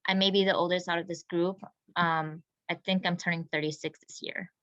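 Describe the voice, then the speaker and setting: A woman's voice: on the low side, little pitch movement, brisk, fairly bright tone. A woman in her thirties from Wisconsin, introducing herself on a video call in a joking, self-deprecating way. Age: 30s. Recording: dull lo-fi sound.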